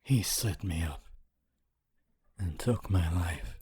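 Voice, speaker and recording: A lonely, honorable male spirit acted out by a man speaks in a very breathy, hushed voice. It is low and very slow, with halting, intimate phrasing. He sounds tender and full of regret, as in an ASMR roleplay. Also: full character voice. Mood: somber, regretful.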